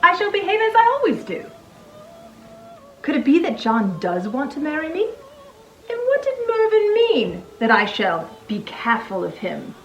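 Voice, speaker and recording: High, swooping, proper single woman played by an actress. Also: wildly swooping pitch, a little slow, dull audio, faint music behind, heavily acted. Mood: wounded, wondering. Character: Ianthe, a reserved, proper single woman, easily flustered. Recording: roomy echo.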